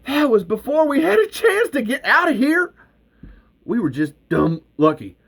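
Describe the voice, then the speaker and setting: A man reading out a screenplay, voicing an excitable scheming male hustler who pitches high and loud. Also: takes it slowly. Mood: excited.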